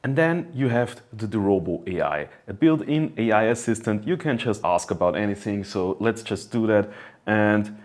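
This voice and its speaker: A man's voice: fairly low pitch, lively intonation, normal speed, darkish tone. A man giving a casual hands-on product review, talking conversationally and thinking aloud as he goes.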